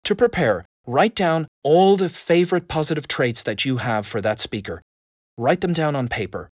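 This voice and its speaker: A man giving a measured, expressive lesson on public speaking. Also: a little high, dry recording, clean studio sound. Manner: expressive emphasis, measured delivery. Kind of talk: instructional talk.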